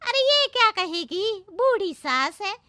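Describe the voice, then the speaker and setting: Woman acting a shrill, nagging village gossip. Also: sing-song, slow, sharp tone, no room sound, studio quality, heavily acted. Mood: mocking, scandalized. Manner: nagging, mocking. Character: gossiping, disapproving village women.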